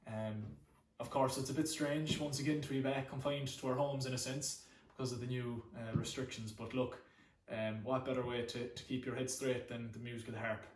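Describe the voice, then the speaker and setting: An Irish man from Connemara, whose first language is Irish, talks loosely with lots of ums and repeated words as he introduces a song. His voice sits at an ordinary middle pitch for a man. The room rings around him and he is some distance from the mic. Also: fairly even intonation, normal speed, few pauses, echoey room. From Ireland. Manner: chatty, many fillers, repeats words. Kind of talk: casual spoken introduction to a home video. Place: at home.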